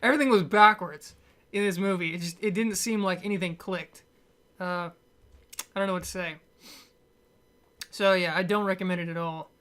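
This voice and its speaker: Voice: medium pitch, fairly even intonation, normal speed, long pauses, a bit bright, clean tone. A movie reviewer giving a casual, unscripted rant, rambling and blunt, with frequent chuckles.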